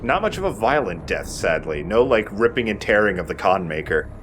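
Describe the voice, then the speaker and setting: A man's voice: lowish voice, very animated, fairly quick, fairly bright tone, slight background noise. A man giving casual, explanatory let's play commentary as he plays, with some mild swearing. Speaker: let's play host and player. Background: video game music.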